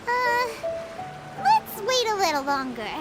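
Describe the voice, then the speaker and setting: Tiny fairy sidekick, very high, bouncy lively pitch, game audio with loud music under it. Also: female voice, slow, exaggerated voice. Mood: puzzled. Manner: high, bubbly and easily startled. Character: small excitable fairy companion girl.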